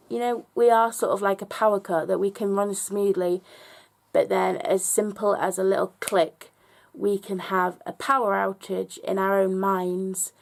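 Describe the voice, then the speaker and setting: A woman records a personal vlog, talking straight to her audience in a reflective, earnest way. Her voice is slightly hoarse, with plenty of vocal fry and a gravelly edge. She speaks at an ordinary, mid-range pitch. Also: darkish tone. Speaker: vlogger.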